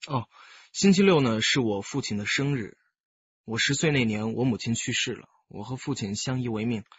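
Composed young teacher, husky voice. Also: male voice, mid-range voice, fairly bright tone, dry recording, highs cut off. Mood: earnest, reflective. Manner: polite, measured, explanatory. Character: composed, candid young male music teacher and pigeon keeper. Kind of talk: radio drama acting.

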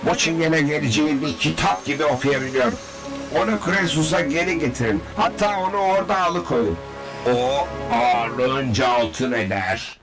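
A man's voice: fairly high pitch, moderate pace, music in the background, natural voice. A man playing a shady, demanding casino boss in a cartoon dub, menacing and imperious. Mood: greedy, commanding. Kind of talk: cartoon dubbing.

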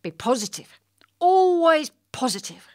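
A woman's voice: high-pitched, big pitch swings, unhurried. A woman playing a coaxing, bossy critic who gives emphatic instructions in a comic audio play. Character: bossy, coaxing streetwise critic of a night watchman.

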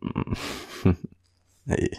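A tired young Australian man rambling in an intimate boyfriend roleplay, with a husky, gravelly voice and a playful, teasing, halting manner. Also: a little mellow. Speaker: boyfriend roleplay audio performer.

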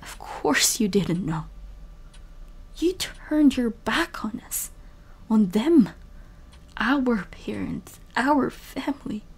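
An actress playing a hurt, caring but firm young woman in an emotional audio drama, breathy and earnest, often near whispering. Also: on the high side, animated, slow pace, long pauses, exaggerated voice. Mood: hurt, accusing.

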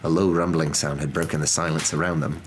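A man's voice: rumbling low, flat delivery, fast, a little hiss or hum. A young man narrating a storybook in an ASMR reading. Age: young adult. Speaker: storybook reader.

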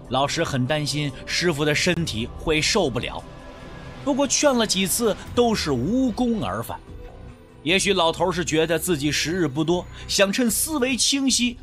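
A man reads a suspense audiobook in a high voice for a male. His pitch moves widely as he leans into dramatic storyteller phrasing. Music plays behind him. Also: fairly bright tone. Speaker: audiobook narrator. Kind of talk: scripted suspense story narration.

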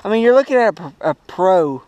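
A young man jokes and teases his way through a show-and-tell, laughing as he goes. His voice sits high for a man. It is caught outdoors on a lake by a small action camera. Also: sing-song, brisk, a little mellow, lo-fi sound. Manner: playful, teasing, laughs often. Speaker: vlog host. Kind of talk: joking boat tour. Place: outdoors on a bass boat on a lake.

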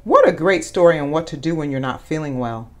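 Lower-pitched woman reading animatedly to children. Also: brisk. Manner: animated, dramatizes questions and exclamations for young children. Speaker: read-aloud story host. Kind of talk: expressive children's picture-book read-aloud with asides.

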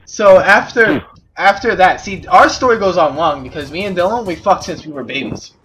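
A man with a high voice makes up a comic story for his friends over game chat. He stumbles along, giggly, repeating his phrases, with clear noise and clicks on the line. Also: expressive, moderate pace, a bit bright. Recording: noisy gaming voice chat with clicks.

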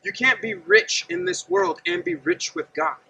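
A man's voice: very high-pitched, sing-song, normal speed, vocal fry, sharp tone, lo-fi sound, a little hiss or hum. A man in his thirties preaching earnestly and conversationally outdoors, standing and gesturing while he talks to a camera set some distance away. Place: outdoors by a gravel lot and fence. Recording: distant outdoor mic with faint ambience.

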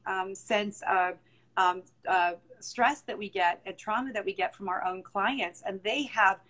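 A woman's voice: medium pitch, a bit bright. A middle-aged woman hosting a live video call interview, talking earnestly in long, rambling stretches full of fillers. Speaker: host. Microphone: video call on phone.

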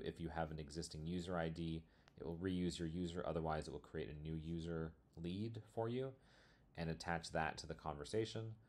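A man presenting a software demo in a casual, explanatory screencast tutorial style. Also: rumbling low. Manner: informal, explanatory.

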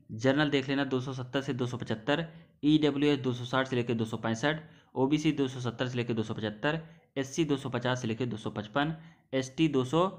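A man giving an informal, repetitive explainer as an exam-preparation presenter, addressing listeners as brothers. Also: medium pitch. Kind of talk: informal explainer over on-screen notes.

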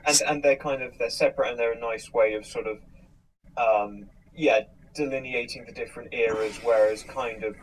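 A man's voice: medium pitch, fairly even intonation, moderate pace, poor audio. A man in casual chat, speaking hesitantly as he thinks aloud. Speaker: podcast host.

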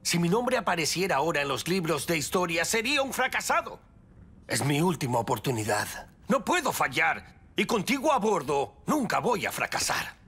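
A man playing an eager, smooth-talking, obsessive expedition leader in a cartoon, with a Latin American accent. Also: on the high side, sing-song, moderate pace, fairly bright tone, exaggerated voice. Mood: earnest.

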